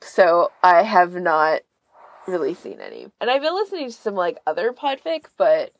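A woman's voice: fairly low pitch, huge pitch swings, moderate pace, no echo, rough recording. A woman from Canada chatting casually as a podcast host, rambling and peppering her talk with "like". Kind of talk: casual chat.

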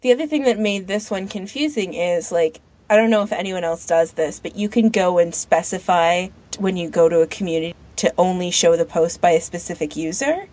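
A woman's voice: lowish voice, fairly quick, hardly any pauses, darkish tone. A woman co-host chatting casually on a podcast. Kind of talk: casual chat.

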